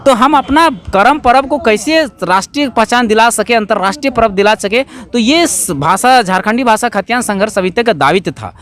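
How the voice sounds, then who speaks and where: High-pitched Jharkhandi man, emphatic interviewee. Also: animated, moderate pace, hardly any pauses, a bit bright. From Jharkhand, India. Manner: emphatic, repeats phrases, asks rhetorical questions. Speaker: activist being interviewed. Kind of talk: explanatory interview answers on camera.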